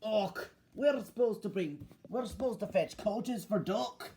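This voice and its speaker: Man doing a high, fretful twin engine. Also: a little slow, warm tone, a little hiss or hum. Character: dutiful Scottish male twin railway engine, fretting about rules.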